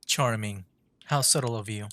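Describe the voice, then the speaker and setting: Very low-voiced woman streamer. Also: normal speed, fairly bright tone, slight background noise. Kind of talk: casual game stream commentary.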